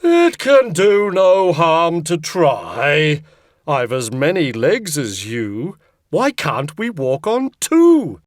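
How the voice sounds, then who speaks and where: Woman as a plaintive, animated talking table. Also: sits low, takes it slowly, dry recording, clean studio sound, heavily acted. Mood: eager, coaxing. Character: talking table, plaintive yet eager for adventure.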